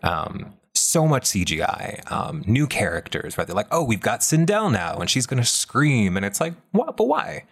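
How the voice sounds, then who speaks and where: A man talking casually and emphatically about pop culture, in a talkative, animated way. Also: mid-range voice, normal speed, hardly any pauses, husky. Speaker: film discussion panelist.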